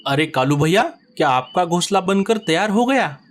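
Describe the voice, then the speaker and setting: A man's voice: sits high, lively intonation, normal speed. Man voicing a grumbling lazy sparrow husband. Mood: earnest. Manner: grumbling, pleading. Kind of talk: cartoon voice acting.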